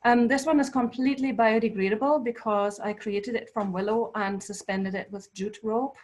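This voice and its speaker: A woman whose first language is German, giving a thoughtful presentation about her artwork to a small gathering, with frequent pauses and filler words. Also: on the high side, steady tone, darkish tone. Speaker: artist giving a talk. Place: local climate cafe event.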